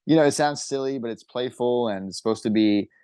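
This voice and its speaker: A man's voice: medium pitch, fairly quick, dark tone, smooth, no room sound. A man who sings and writes songs for a band, talking casually about making music in a podcast interview. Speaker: singer and songwriter in a band.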